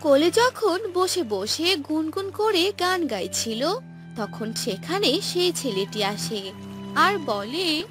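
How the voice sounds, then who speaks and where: A woman's voice: high voice, sing-song, slow. A woman narrating a scripted fairy-tale cartoon as its storyteller. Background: music.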